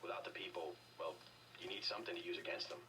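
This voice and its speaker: An actor playing a weary, wounded, calmly sardonic male agent in a video game, on a noisy distant capture. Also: sits low, fast, lightly acted. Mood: weary, grim.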